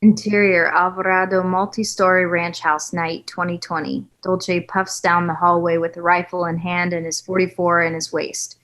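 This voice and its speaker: A woman reading screenplay stage directions at a table read in an even, measured way. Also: on the low side, rough recording.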